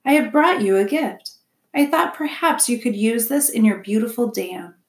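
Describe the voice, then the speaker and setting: A woman voicing a gentle, polite, literal-minded robot mother with formal, precise phrasing in a children's storybook. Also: a little high, moderate pace, reverberant, close to an everyday voice. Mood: friendly, reassuring.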